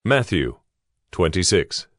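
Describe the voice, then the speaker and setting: Low-voiced man narrating scripture. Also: big pitch swings, takes it slowly, no echo, studio quality, faint music behind. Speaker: narrator of an audio Bible.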